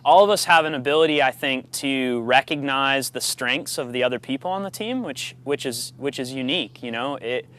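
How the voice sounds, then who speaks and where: A man's voice: mid-range voice, normal speed, hardly any pauses, fairly bright tone. A man in his thirties answering interview questions casually, thoughtfully feeling his way through phrases. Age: 30s. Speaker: expedition paddler being interviewed.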